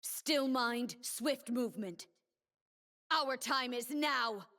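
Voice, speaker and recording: An actress voicing a proud, commanding warrior dancer who defends her homeland, speaking solemn, measured lines for a video game. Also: on the high side, a bit bright, big-room sound. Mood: fierce, commanding.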